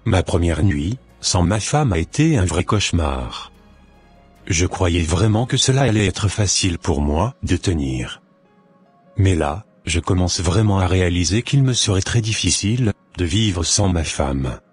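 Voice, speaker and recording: Remorseful husband for a cartoon drama: male actor, very low husky voice, earnest, music underneath. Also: expressive, unhurried, heavily acted. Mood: regretful, yearning. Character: remorseful, lonely husband swallowing his pride. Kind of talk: scripted animated drama monologue and dialogue.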